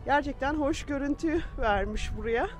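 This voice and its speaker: A woman's voice: high voice, normal speed, over music. A woman giving casual travel vlog commentary on location.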